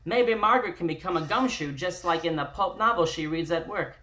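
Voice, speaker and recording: A woman's voice: on the low side, fairly quick, a bit bright. A woman hosting a live-streamed table read, introducing it and narrating from a script.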